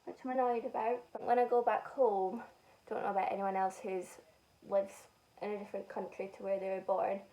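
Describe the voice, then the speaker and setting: A young woman from Scotland with a Scottish accent softened by a northern English lilt, chatting casually and self-mockingly while doing her makeup. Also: mid-range voice, little pitch movement, a little fast, distant mic, lo-fi sound. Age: young adult. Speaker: beauty vlogger.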